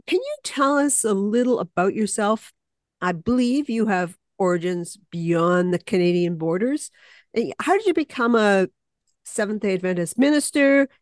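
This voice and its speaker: A woman hosting a community podcast, introducing her guest and asking questions in a friendly, measured way. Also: a little high, dry recording, clean studio sound. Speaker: podcast host.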